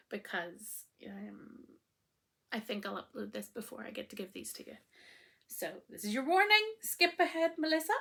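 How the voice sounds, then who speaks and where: Croaky-voiced woman chatting about crafts. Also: on the high side, a bit bright. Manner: chatty, rambling, lots of ums. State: croaky voice after illness. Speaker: knitting podcast host. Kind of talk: casual chatty video podcast.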